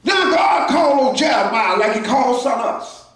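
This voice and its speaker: High-voiced shouting preacher in an echoey church. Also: male voice, animated, normal speed, far from the mic, some chatter behind. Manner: preaching in shouted bursts with call-outs to the congregation. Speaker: pastor. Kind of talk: sermon. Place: church sanctuary.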